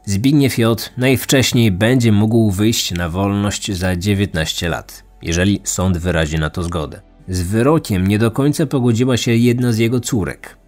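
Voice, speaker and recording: Low-voiced male true crime narrator. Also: darkish tone. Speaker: true crime podcast host. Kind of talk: scripted documentary narration.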